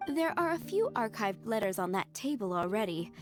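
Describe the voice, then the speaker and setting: An actress playing a polite, formal and reassuring head maid in a video game. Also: female voice, medium pitch, big pitch swings, moderate pace, natural voice. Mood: gracious. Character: courteous, composed female head maid of a winery. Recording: clear music behind the voice.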